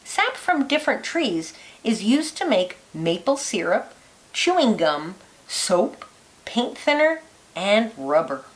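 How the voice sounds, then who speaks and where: Slow, lively woman reading to children. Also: mid-range voice. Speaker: teacher reading a picture book aloud. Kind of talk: read-aloud of a children's nonfiction book.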